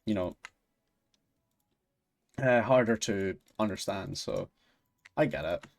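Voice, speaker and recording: A man's voice: fairly low pitch, takes it slowly, creaky. A man with a Scottish accent, chatting casually on a stream, rambling and laughing often. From Scotland. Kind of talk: casual chat while gaming and reading chat.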